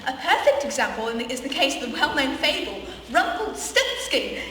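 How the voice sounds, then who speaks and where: A radio play heard from far off in a boomy hall. A young woman acts as an eager, dramatic know-it-all schoolgirl with a high voice and very earnest delivery. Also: big pitch swings, brisk, sharp tone, heavily acted. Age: young adult. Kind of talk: comedic radio play acting. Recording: very echoey hall, distant mic, faint noise.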